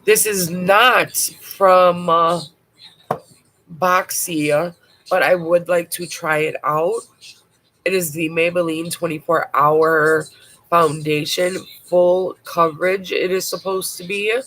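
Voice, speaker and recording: A woman's voice: lowish voice, fairly even intonation, slow pace, a bit bright, rough recording. A woman with a bad cold reviewing makeup live, chatty and blunt, dropping casual swear words as she goes. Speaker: makeup vlogger. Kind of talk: casual livestream product review.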